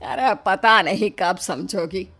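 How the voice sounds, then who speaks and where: A woman's voice: lowish voice, expressive, slow, fairly bright tone, voice pushed down, heavily acted. A woman voicing a middle-aged mother-in-law in a cartoon story, motherly but fretful and scolding. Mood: exasperated, pleading. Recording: music behind the voice.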